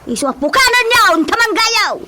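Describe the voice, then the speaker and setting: Nagging neighbour woman, high pitch, Ilocano accent, exclamatory scolding, radio drama comedy, clearly noisy recording. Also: sing-song, brisk. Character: quarrelsome complaining woman neighbour in a village comedy.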